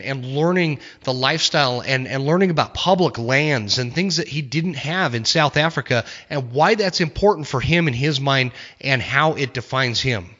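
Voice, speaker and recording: A man's voice: mid-range voice, sharp tone, highs cut off. An American man hosting a podcast in a casual, conversational way, close on a studio microphone. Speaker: podcast host.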